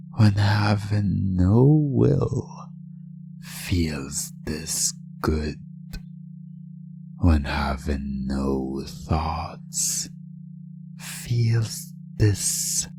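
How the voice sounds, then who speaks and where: Hypnosis ASMR narrator: deep, unhurried delivery that often drops to a whisper, soothing yet commanding, music underneath. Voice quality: breathy, often dropping to a whisper. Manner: slow, soothing, commanding, repetitive.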